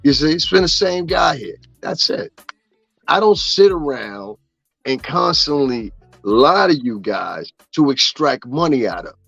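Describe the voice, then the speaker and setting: A man in the middle of his pitch range rants profanely in loose gossip commentary, circling back to "you understand what I'm saying" as he goes. The sound is a bit dull, with faint music under his voice. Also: big pitch swings, unhurried, gravelly, a bit bright, husky, no room sound. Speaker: commentary streamer.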